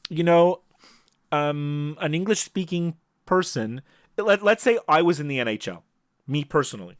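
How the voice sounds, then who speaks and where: A man's voice: a little high, lively intonation, normal speed, dry recording. A man co-hosting a casual sports podcast, chatting on a close mic over a video call.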